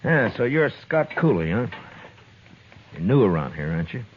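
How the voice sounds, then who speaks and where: A man's voice: mid-range voice, very animated, moderate pace, lightly acted. An actor playing a tough, laconic lawman of the Old West in a 1950s radio western, with a terse, blunt, questioning way of talking. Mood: dry, probing. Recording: dull, noisy old radio recording.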